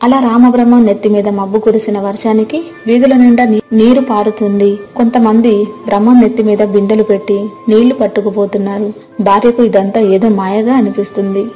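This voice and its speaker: A woman's voice: medium pitch, fairly even intonation, fairly quick, warm tone. A woman narrating a scripted moral story as a storyteller. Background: faint music.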